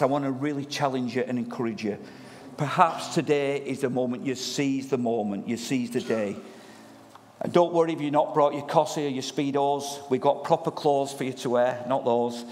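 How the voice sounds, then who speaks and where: A man preaching in a church, loud and lively, chatting with the congregation as their pastor. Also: mid-range voice. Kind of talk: sermon. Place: church hall. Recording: long reverb of a church hall.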